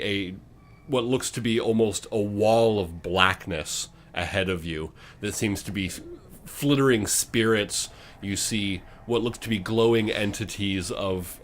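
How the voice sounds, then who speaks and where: Male game master, lowish voice, dramatic descriptive storytelling, improvised tabletop narration, faint noise. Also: fairly bright tone.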